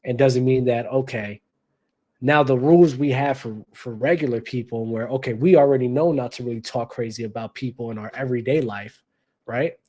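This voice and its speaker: A man's voice: medium pitch, fairly even intonation, moderate pace, dark tone. A young adult man hosting a basketball talk show, giving blunt casual opinion commentary with slang and lots of repetition for emphasis.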